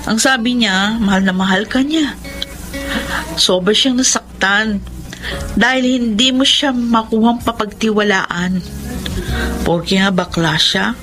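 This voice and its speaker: A woman's voice: mid-range voice, slow pace, pauses often, close to an everyday voice, low-quality recording. A woman playing a concerned female friend in a radio romance drama, earnest and persuasive as she pleads. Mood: urging. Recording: music bed under the voice.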